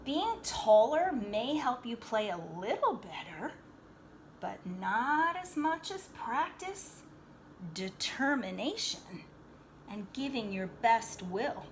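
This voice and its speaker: A woman voicing a loving, encouraging father from a storybook, speaking in a gentle, reassuring and emphatic way. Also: fairly high pitch, very animated, slow, slight background noise, heavily acted. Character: encouraging, loving father advising his young son.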